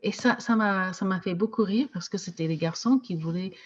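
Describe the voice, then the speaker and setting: A woman teaching French as a second language, talking casually with slow, hesitant speech and frequent restarts. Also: medium pitch, breathy edge, highs cut off. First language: not French. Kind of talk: casual discussion in a video meeting.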